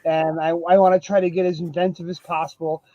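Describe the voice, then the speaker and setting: A man being interviewed as a guest author, speaking in a relaxed, reflective way with many fillers. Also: fairly high pitch, fairly quick, dry recording. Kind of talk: casual interview chat. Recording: dull, dry lo-fi mic.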